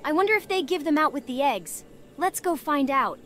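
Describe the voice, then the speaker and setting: An excitable young female festival-goer played by a woman has a high, swooping voice. She is a delighted fantasy game character, with faint music underneath. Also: normal speed.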